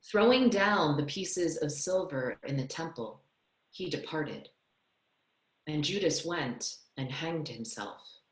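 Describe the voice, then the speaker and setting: A young woman reads scripture as a solemn narrator. Her voice is quite low and her pace very unhurried. The sound is a roomy video call from home. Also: expressive. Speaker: clergywoman, narrator of a scripture reading. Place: small home room, indoors. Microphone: video call, computer microphone.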